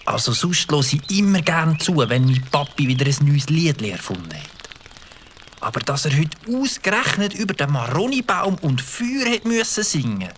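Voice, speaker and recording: A voice actor plays a nervous young boy with a Swiss German accent who dodges questions. The voice moves lively up and down. A faint hiss sits under it, as in a storybook radio play. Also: medium pitch, normal speed, close to an everyday voice. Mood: worried. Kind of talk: children's audio drama acting.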